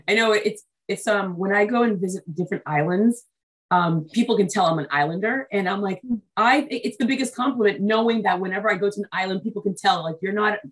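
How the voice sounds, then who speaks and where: A woman's voice: medium pitch, fairly quick, poor audio. A woman hosting a podcast interview, chatty and effusive, with lots of fillers. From United States, Haitian family. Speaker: podcast host. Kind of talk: casual interview chat.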